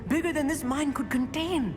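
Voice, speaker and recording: A man's voice: very high-pitched, normal speed, music in the background. An actor playing a polite, bookish, timid teenage boy wizard in a video game, courteous and hesitant in his precise speech. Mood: anxious. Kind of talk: video game cutscene acting.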